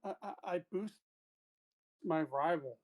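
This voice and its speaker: A man's voice: on the high side, slow. Man casually playing a tabletop game.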